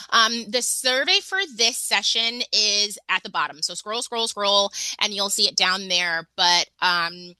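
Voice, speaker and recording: Woman webinar host, bright and dry, casual video-call chatter with lots of "like"s. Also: fairly high pitch, no echo, poor audio. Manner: chatty, lots of fillers and restarts. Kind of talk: casual online webinar hosting.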